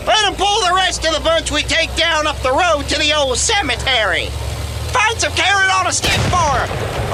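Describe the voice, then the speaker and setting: Boisterous Southern country gunslinger, high, swinging pitch, folksy hollering, audio drama. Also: male voice, background noise. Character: boisterous, folksy male small-town gunslinging good old boy.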